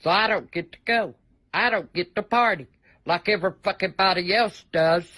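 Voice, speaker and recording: Older woman, forceful bright voice, for a blunt, repetitive, foul-mouthed complaint delivered casually. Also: medium pitch, normal speed. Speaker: person in a viral clip. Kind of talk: casual profane rant.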